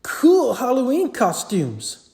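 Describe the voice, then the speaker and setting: A storybook reader voicing an excited young boy who calls out eagerly and admiringly. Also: mid-range voice, takes it slowly, gravelly, reverberant, pitched above the performer's own voice, full character voice. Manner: loud, eager exclaiming.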